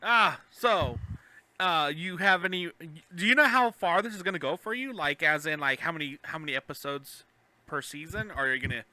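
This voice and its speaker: A man co-hosting a podcast in casual chat, halting and repeating the starts of his phrases. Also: a little high, expressive, sharp tone. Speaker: podcast co-host.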